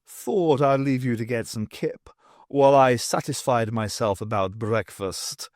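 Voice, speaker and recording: A man voicing a big, jolly, clubbish male cat gentleman with a fruity English voice for an audiobook. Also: medium pitch, unhurried, no echo, clean studio sound, pitched below the performer's own voice, close to an everyday voice. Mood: smug, jovial. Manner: jolly, clubbish upper-class bluster. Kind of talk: audiobook character voice.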